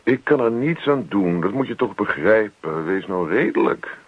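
An actor playing a put-upon, evasive husband in a radio play, muttering, grumbling and placating. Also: male voice, fairly low pitch, expressive, moderate pace, slightly rough, no echo. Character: put-upon evasive husband who just wants peace and his newspaper. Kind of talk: Dutch radio play acting. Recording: dull lo-fi old radio recording.

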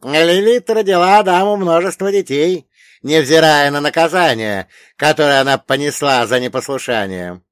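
A man voicing a wise, centuries-old dead grandfather in an audiobook, telling old lore in an emphatic, drawn-out, lecturing way. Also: fairly high pitch, no echo, studio quality, voice pushed up, lightly acted. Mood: grave, emphatic. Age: older. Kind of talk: audiobook character voice.

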